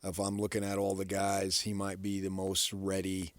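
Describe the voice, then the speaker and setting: A low-voiced American man gives casual sports commentary as a radio co-host. He speaks in a halting way, circling back to restate his points, and the sound is dry and close. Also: completely flat delivery, no room sound.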